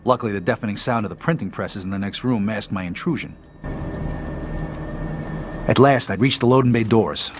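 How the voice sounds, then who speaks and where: A man's voice: on the low side, moderate pace, long pauses, over music, natural voice. An actor playing a world-weary, wisecracking American mob enforcer, telling his story in a casual, tough, profane hardboiled narration. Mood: wry, matter-of-fact.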